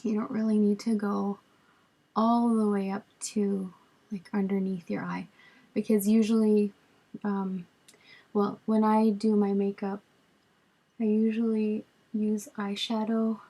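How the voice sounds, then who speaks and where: A woman in her twenties, raspy and a bit hoarse from a cold, walks through her makeup routine in a relaxed, chatty way. Her voice is low-key and mellow, flat in tone, and unhurried. She talks to a webcam in a small bedroom. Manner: relaxed, chatty, lots of ums while applying makeup. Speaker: beauty vlogger. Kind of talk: makeup tutorial chat. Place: small bedroom with storage shelves, indoors. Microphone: webcam at arm's length.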